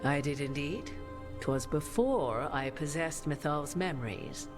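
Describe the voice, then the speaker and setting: A woman playing a solemn human sorceress in a video game, speaking in measured, archaic, formal phrases. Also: low voice, expressive. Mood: measured, proud. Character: solemn human sorceress bearing an ancient goddess's memories. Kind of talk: dramatic video game dialogue. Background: prominent music.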